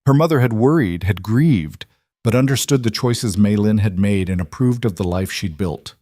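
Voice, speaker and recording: A man narrating a scripted story in a measured, even way. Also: fairly low pitch, dry recording, studio quality.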